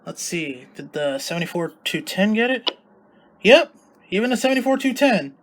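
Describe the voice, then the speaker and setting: A man's voice: high-pitched, very animated, moderate pace, a bit bright. Casual male hobbyist with noisy background. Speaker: hobbyist video host. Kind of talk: casual commentary on radio test results.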